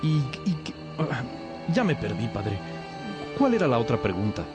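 A man's voice: mid-range voice, huge pitch swings, moderate pace. An actor playing a lovesick young man, a respectful pupil who speaks earnestly and hesitantly in a religious radio drama. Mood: searching, respectful. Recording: music behind the voice.

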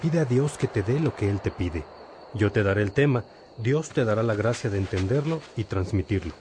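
An actor playing a calm, fatherly bishop who instructs a novice in a measured way in a religious radio drama. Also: fairly low pitch, a little hiss or hum, close to an everyday voice.

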